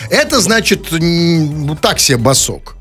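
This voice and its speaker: A man's voice: on the high side, very animated, unhurried, fairly bright tone, slightly rough, soft background music. A man co-hosting a comic radio show, reading out listener messages and teasing about voices. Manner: playful, reads listener messages aloud, mimics voices.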